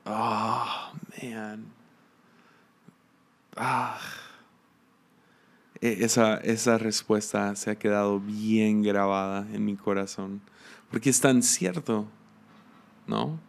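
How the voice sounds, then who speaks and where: A man with a Mexican accent giving a reflective devotional teaching, musing aloud with restarts and soft laughs. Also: lowish voice, steady tone, very slow, vocal fry, pauses often. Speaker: preacher.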